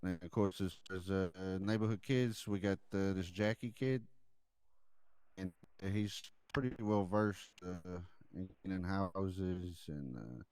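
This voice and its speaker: A man playing a deferential mobster reporting to his boss in improvised crime role-play, halting and repeating words as he goes. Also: deep voice, darkish tone, clean tone, no echo. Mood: defensive, loyal. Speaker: role-play gamer playing a mob underling.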